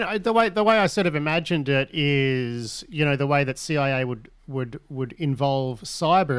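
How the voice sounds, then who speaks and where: A man's voice: mid-range voice, no room sound. A man hosting an interview, asking questions casually and thinking aloud. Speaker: interview host.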